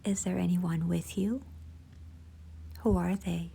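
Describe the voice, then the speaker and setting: A woman leading a guided visualization in a soft, hushed, breathy voice, gentle, unhurried and soothing. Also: on the low side, fairly even intonation. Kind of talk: slow guided meditation narration.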